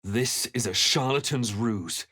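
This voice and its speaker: An actor playing a brooding male adventurer in a fantasy game, narrating his quest in a measured, theatrical, grave manner. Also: mid-range voice, sharp tone, no echo, studio quality. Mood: wary. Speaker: player character in fantasy role-playing game. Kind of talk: dramatic scripted game voice lines.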